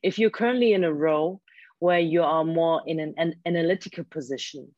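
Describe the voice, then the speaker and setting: A woman's voice: sits low, fairly quick, a little mellow, no echo. A woman whose first language is German, a career coach talking earnestly in an interview and often restarting her words. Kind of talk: interview guest explaining advice. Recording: dull, dry lo-fi sound.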